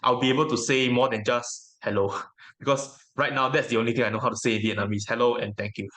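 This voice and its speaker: A man whose native language is Mandarin, giving casual interview answers as a guest in a reflective, hesitant way with frequent pauses. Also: mid-range voice, fairly even intonation, fairly bright tone. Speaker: interview guest, tabletop game educator.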